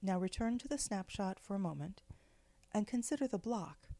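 A woman leading a guided meditation in a gentle, measured, soothing way, with pauses between phrases. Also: medium pitch, expressive. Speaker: guided meditation leader, spiritual director.

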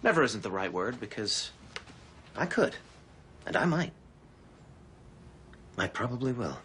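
A man playing a vain, menacing narcissist in a sitcom, with a creepy voice, grandiose and deliberately dramatic in delivery. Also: mid-range voice, very animated, a bit bright, slight background noise. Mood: sinister musing. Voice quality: creepy voice, slipping into breathy whispers. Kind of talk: sitcom monologue acting.

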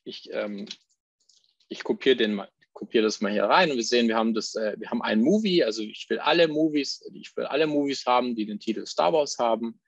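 Man, software demo presenter, gravelly voice, step-by-step explaining, dull recording. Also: medium pitch, fairly quick, no echo. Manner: explains step by step while clicking, fillers and restarts. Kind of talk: live software demo talk.

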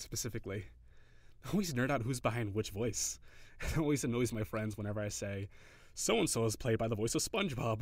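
A man's voice: mid-range voice, no echo, natural voice. A man playing a shy, cheery kitsune barista, close-up. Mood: bashful, enthusiastic. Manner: hesitant, giggly, encouraging, softly flirtatious. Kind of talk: intimate audio roleplay addressed to the listener.

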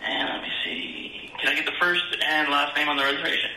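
A man's voice: fairly high pitch, little pitch movement, fairly quick, bright, forceful tone, reverberant, a little hiss or hum, rough recording. A man taking a phone booking as a reservations agent, polite, apologetic and hesitant. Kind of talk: customer service phone call.

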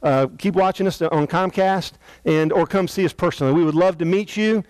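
An American man in his thirties speaks as a pastor to viewers at home. His voice is quite high for a man. The sound is dry, with little room around it. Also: a little fast, a little mellow, clean tone, no room sound, rough recording. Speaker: senior pastor. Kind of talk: televised church invitation to viewers. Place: indoor church sanctuary.

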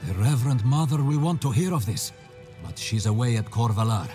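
An actor playing a devout, solemn follower of a holy order in video game dialogue, reverent and measured. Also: male voice, medium pitch, lively intonation, dark tone, soft background music, lightly acted.

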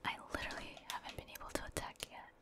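Whispering woman doing ASMR game commentary. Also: racing. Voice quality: breathy, unvoiced whisper. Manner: chatty, reacting to play in short murmured asides. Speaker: gaming streamer and ASMR host.

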